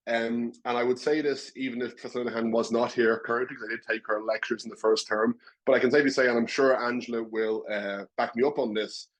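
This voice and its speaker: A man's voice: mid-range voice, fairly even intonation, fast talker, few pauses, low-quality recording. A young man with a Dublin Irish accent, speaking earnestly as a current student in a webinar. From Ireland. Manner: earnest, wordy, self-deprecating. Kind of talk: webinar speech.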